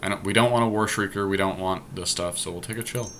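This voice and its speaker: A man's voice: low voice, steady tone, fast talker, pushed and bright, slight background noise. A man giving casual strategy commentary as a podcast host during a card game draft, deliberating aloud as he goes.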